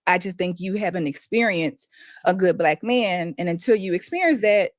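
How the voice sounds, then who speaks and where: A woman guest in a casual group discussion who speaks in a calm, reflective, measured way. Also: fairly low pitch, no room sound, clean studio sound. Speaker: panel guest.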